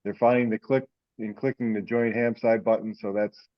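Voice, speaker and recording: A man's voice: on the low side, level tone, moderate pace. A man giving an informal, rambling, folksy update to a group on an online call. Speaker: presenter on a hobbyist teleconference. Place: online teleconference. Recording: dull, lo-fi conference call audio.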